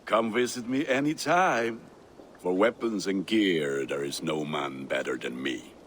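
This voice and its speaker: An actor playing a proud, hearty Viking blacksmith in a video game, speaking boastfully. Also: male voice, deep voice, unhurried, a bit bright, a little hiss or hum. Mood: delighted, boastful. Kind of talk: video game cutscene acting.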